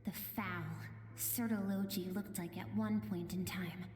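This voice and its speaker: An actress playing a solemn, knowing storyteller of ancient lore in a video game, speaking formally and with measured explanation. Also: female voice, mid-range voice, fairly even intonation, a little mellow, natural voice. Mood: solemn, explanatory. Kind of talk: dramatic video game dialogue. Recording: echoey hall reverb, music behind.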